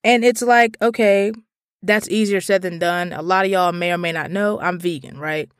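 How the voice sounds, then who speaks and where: Animated young woman podcaster, dry close sound. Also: fairly low pitch, moderate pace, clear, resonant tone, no room sound. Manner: animated, exasperated, swears freely, lots of "like" fillers. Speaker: podcast host. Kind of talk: casual podcast monologue.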